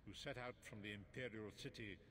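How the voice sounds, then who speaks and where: Fantasy game seer played by a man: elderly, low, grave and theatrical, over clearly audible ambient noise. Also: fairly even intonation, brisk, lightly acted. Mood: grave, instructive. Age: older. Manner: solemn, theatrical storytelling. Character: old blind male seer, grave and theatrical prophet. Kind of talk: scripted fantasy game narration. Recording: noisy game capture, ambient sound behind.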